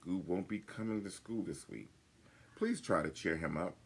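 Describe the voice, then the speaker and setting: An older man voicing a kindly female owl schoolteacher from a storybook, solemnly and caringly addressing a class in a gravelly voice. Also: low voice, normal speed, a little hiss or hum.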